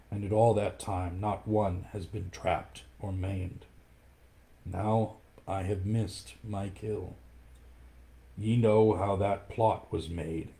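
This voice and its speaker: A man voicing a dignified, weary old wolf leader, speaking slowly and gravely. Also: dark tone, heavily acted. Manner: slow, grave, low.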